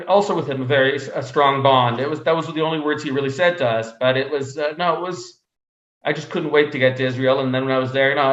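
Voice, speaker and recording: A man from Canada speaking as an interview guest, telling personal stories conversationally in a halting way, often repeating words. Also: medium pitch. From Canada (Montreal). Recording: roomy echo, slightly dull sound.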